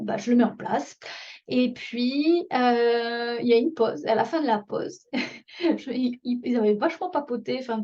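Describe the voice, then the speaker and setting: A woman's voice: on the high side, dark tone, lo-fi sound. A French-accented woman who leads parenting workshops, talking casually to camera, full of fillers and restarts. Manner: chatty, many fillers and restarts.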